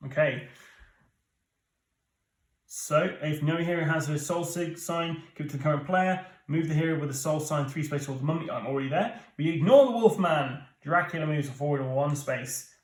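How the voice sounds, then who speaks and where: A man's voice: on the high side, moderate pace. A British man in his thirties hosting a board game playthrough, explaining rules and joking. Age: 30s. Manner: chatty, explains rules, jokey asides. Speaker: board game channel host. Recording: echoey room, mic at a distance.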